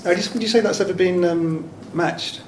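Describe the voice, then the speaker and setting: A man's voice: high-pitched, moderate pace. A man asking casual, loosely phrased interview questions in a hesitant way. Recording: echoey room, clear background noise.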